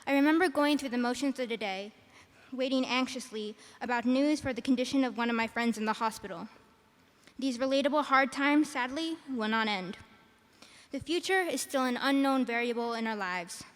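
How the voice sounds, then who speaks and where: A teenage girl with a quiet voice, giving a prepared graduation speech as salutatorian from a podium microphone. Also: high voice, moderate pace. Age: teens. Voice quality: soft-spoken, quiet voice. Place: large arena. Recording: arena with long reverb.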